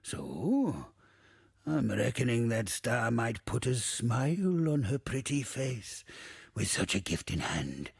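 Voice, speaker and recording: An actor playing a bawdy, wistful old ghost in a video game, theatrical and drawling, with a gravelly, rasping voice. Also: male voice, fairly low pitch, dry recording, studio quality, heavily acted. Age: older. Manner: theatrical, salty archaic pirate talk, swooping and drawling. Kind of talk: video game character monologue.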